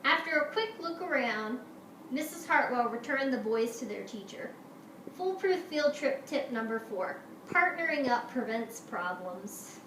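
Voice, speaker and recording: A woman teacher doing an expressive picture-book read-aloud for her pupils. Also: high-pitched, unhurried, recorded from a distance. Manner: expressive, animated storytelling. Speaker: schoolteacher reading to pupils. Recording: roomy, echoey, noisy home-style recording.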